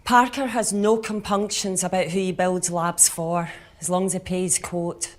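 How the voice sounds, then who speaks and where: An actress playing a cold, commanding corporate boss, speaking curtly and with authority in a dramatic style. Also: female voice, on the low side, fairly even intonation, unhurried, close to an everyday voice. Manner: authoritative, curt. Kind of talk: naturalistic dramatic screen acting.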